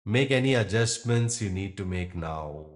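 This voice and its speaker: A man guiding a meditation as a yoga nidra teacher, speaking in gentle instructions with long pauses. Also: fairly low pitch, expressive. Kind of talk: guided meditation.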